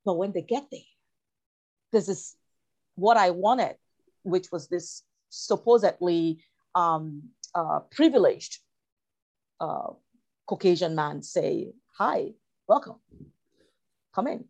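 A woman from Cameroon talking reflectively as an interview guest, halting and repeating phrases as she searches for her words. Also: lowish voice, lively intonation, darkish tone. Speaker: interview guest, writer and actor.

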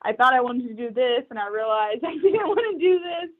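A woman's voice: high voice, expressive, fairly quick. Young woman recruiter, upbeat and chatty, laughs easily, thin phone-quality audio. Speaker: recruiter, podcast guest. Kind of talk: casual interview chat. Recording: tinny phone-like line.

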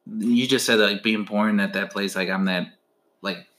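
A curious young American man with a bright, somewhat low voice asks question after question in a laid-back podcast chat, quick to laugh. The room gives his voice a noticeable echo. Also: steady tone. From United States. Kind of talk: casual chat. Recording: roomy echo.

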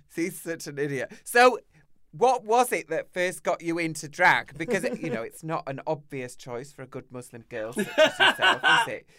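A man's voice: a little high, sing-song, normal speed, bright, forceful tone, no room sound. A man in his thirties hosting a casual chat, teasing and camp. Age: 30s. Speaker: host.

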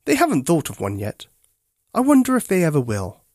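Bedtime story narrator for a children's podcast, gentle and clear in diction, highly varied intonation, close dry sound. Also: huge pitch swings, brisk, no echo.

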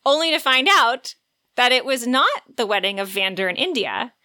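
A woman's voice: fairly high pitch, expressive, moderate pace, bright, forceful tone. A woman co-hosting a podcast, retelling a story in a playful, informal way. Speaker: podcast co-host. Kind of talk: lively retelling of a romance novel plot.

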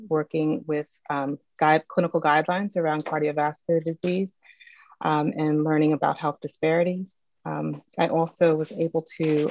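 Woman w a low voice, careful, self-correcting, dull dry video call sound. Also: a little mellow, no room sound. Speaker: panelist, scientist. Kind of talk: personal introduction on a video call panel.

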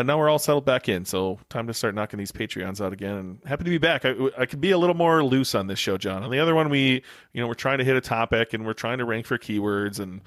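Male American podcast co-host. Quick, irreverent, teasing delivery, very dry recording. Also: mid-range voice, creaky, no room sound. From United States, Wisconsin. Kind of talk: casual bantering podcast talk.

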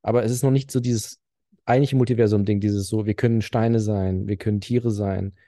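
Dark mellow guy rambling on a podcast, dry close sound. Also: fairly low pitch, steady tone, brisk, dry recording. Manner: rambling, filler-heavy storytelling. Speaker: podcast co-host. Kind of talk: casual chat.

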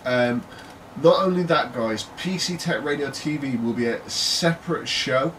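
A man's voice: medium pitch, measured pace, fairly bright tone. British man, chatty podcast co-host. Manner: chatty, jokey, trails into laughter. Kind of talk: casual chat. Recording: distant mic with faint noise.

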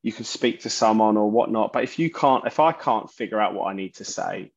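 A man, a musician guest in an interview, talking haltingly and often restarting his sentences. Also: lowish voice, expressive, creaky. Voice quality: loud voice. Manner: halting, searching for words, many restarts. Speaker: musician guest, bassist and singer. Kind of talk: casual interview talk. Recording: dull lo-fi sound.

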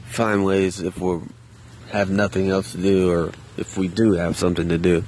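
Quiet low-voiced man talking outdoors. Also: little pitch movement, moderate pace, a little hiss or hum. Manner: quiet, subdued, hesitant with many restarts; loose and conversational with casual swearing. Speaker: vlogger. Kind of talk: casual solo monologue. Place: outdoors in a park.

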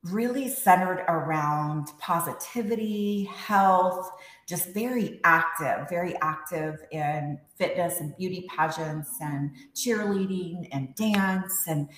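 Podcast guest: upbeat woman who grew up in Texas, lower voice, laughs a lot, roomy echo. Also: takes it slowly. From USA, Texas. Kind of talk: casual podcast interview.

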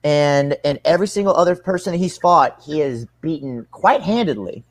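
A man's voice: on the high side, expressive, moderate pace, no room sound. A young man giving casual interview answers as a combat sports athlete, earnest and rambling, often rephrasing himself.

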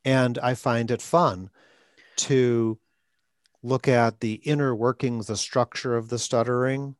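A man's voice: medium pitch, fairly even intonation, takes it slowly, dry recording, studio quality. A man hosting a podcast as a stuttering coach, talking in short phrases with an occasional stutter block. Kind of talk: conversational coaching talk.

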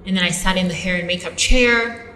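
A young Ugandan woman with an accent and a bright, tense voice. She chats as an interview guest, telling stories with lots of fillers and quick self-corrections. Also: fairly low pitch. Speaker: guest, model and actress. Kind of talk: casual interview chat.

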